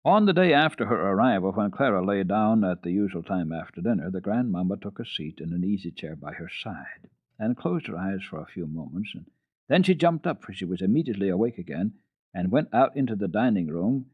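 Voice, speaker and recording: Low-voiced man reading dramatically, very animated pitch. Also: slightly rough. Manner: expressive, measured, deliberate. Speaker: storyteller, radio host. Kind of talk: dramatic reading of children's literature. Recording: dull, roomy old radio recording.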